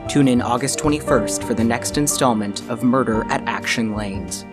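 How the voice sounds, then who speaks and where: A man's voice: medium pitch, moderate pace, music in the background. A man reading a scripted closing announcement for a radio drama.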